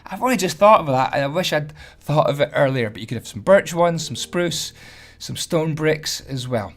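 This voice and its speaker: Hoarse man with a higher voice. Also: animated, normal speed. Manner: chatty, self-deprecating, trips over words. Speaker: Minecraft building tutorial host. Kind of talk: casual tutorial voice-over.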